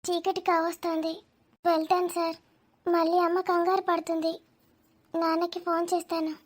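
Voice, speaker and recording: A woman voicing a shy young woman in love in a cartoon romance, speaking softly and hesitantly. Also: very high voice, completely flat delivery, normal speed. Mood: shy, tender. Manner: soft, hesitant.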